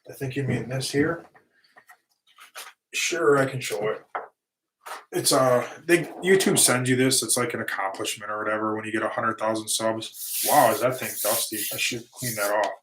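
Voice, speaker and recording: A man's voice: medium pitch, normal speed, a bit bright, slight background noise, rough recording. A middle-aged man casually answering viewer questions on his livestream, easygoing and rambling, his voice tiring. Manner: easygoing, rambling with restarts and fillers. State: voice getting tired and going. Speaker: livestream host, lawn and concrete business owner.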